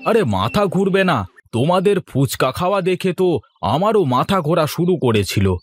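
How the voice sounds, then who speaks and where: A man voicing a teasing, easily amazed family man in a fairy-tale cartoon, husky and exaggerated. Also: sits high, very animated, moderate pace. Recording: music behind the voice.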